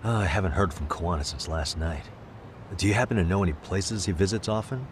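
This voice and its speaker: An actor playing a cool, hard-nosed private detective in a video game dub, measured and matter-of-fact. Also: male voice, sits low, a little hiss or hum, natural voice. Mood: polite, casual.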